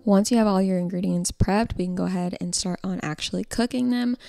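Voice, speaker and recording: A woman's voice: mid-range voice, a little fast, gravelly, music in the background. A young adult woman narrating a casual how-to vlog in an informal, chatty way, recorded as a close voice-over. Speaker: vlogger.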